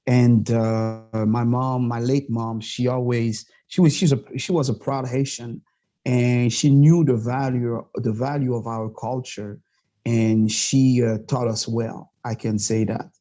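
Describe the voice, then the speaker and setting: A man's voice: medium pitch, little pitch movement, mellow. Hesitant man from Montreal, French native. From Montreal, Canada. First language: Quebec French. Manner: halting, many fillers, passionate. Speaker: podcast guest. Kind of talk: casual interview talk.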